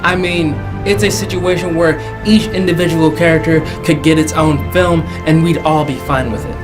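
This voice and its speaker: A man's voice: on the high side, steady tone, moderate pace. A man hosting a casual, jokey video countdown, speaking emphatically and repeating phrases for effect. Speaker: host of a video game movie countdown show. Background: faint background music.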